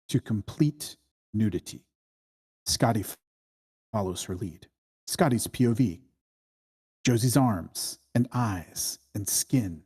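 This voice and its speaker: A man's voice: on the low side, a little mellow, breathy edge. A man reading out scene directions as narrator at a screenplay table read.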